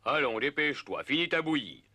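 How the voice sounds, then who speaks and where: A man's voice: fairly high pitch, expressive, a little fast, bright, forceful tone, no echo. A man voicing a sensible, grumbling talking dog in a French cartoon dub, admonishing and matter-of-fact. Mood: bossy.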